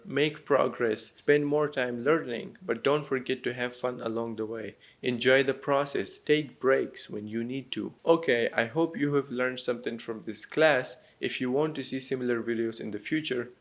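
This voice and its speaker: A man from Pakistan with a Pakistani accent touched by American, teaching viewers in an encouraging, simple step-by-step way. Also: mid-range voice. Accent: Pakistani with some American influence. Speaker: language teacher and YouTuber. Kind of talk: instructional talk to viewers.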